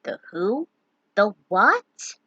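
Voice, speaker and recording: An earnest, road-weary fantasy hero voiced by a woman reading a story. The voice is lifted a little from plain talk and slightly husky. The recording is dry and close. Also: mid-range voice, big pitch swings, very slow, sharp tone, no echo, lo-fi sound, exaggerated voice. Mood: earnest, determined. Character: weary but determined heroes of a fantasy quest.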